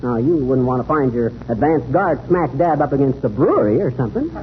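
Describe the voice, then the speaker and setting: An actor playing a cocky retired army major who spins tall tales, in folksy American 1930s radio comedy style. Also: male voice, mid-range voice, big pitch swings, fairly quick, natural voice. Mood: boastful, folksy. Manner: folksy, boastful, ungrammatical wisecracking. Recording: noisy, tinny 1930s radio recording.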